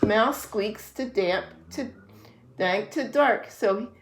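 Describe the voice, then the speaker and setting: A woman reading picture books aloud to a young child as a storyteller, pausing to explain things simply. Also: medium pitch, noisy background. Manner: simple explanations to a child between read passages.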